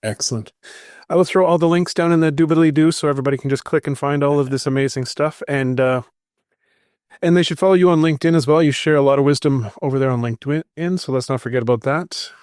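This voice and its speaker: A man's voice: on the high side, fast talker, a little mellow, no echo, studio quality. A man hosting a podcast in a casual, chummy, affirming conversational style. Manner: chummy, affirming, addresses guest as friend. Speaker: podcast host.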